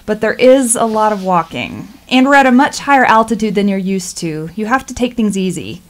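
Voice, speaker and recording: Hesitant, animated single woman played by an actress. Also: medium pitch, fairly quick, close to an everyday voice. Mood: enthusiastic, cautioning. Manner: hesitant, evasive. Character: polite, hesitant unmarried woman, evasive friend. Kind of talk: stage-style radio play acting.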